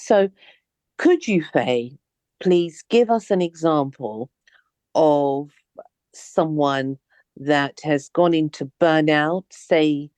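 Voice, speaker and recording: A woman hosting a podcast interview, asking her questions haltingly as she searches for words. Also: low voice, a little mellow, no room sound. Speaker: podcast host.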